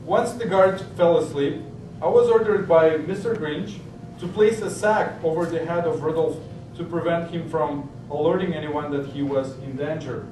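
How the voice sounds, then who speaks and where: A man's voice: normal speed, natural voice. A man in an amateur stage play, playing an obedient henchman who confesses in formal, recited testimony on the witness stand. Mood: confessing. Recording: echoey distant room recording, noisy, rumbling.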